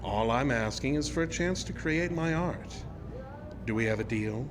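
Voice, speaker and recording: An actor playing a suave, sinister carnival ride builder in a horror audio drama, speaking formally and with smug, unhurried politeness. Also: male voice, medium pitch, lively intonation, noisy background, close to an everyday voice. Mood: persuasive, smug. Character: suave, sinister male magician's mechanic and carnival ride artist.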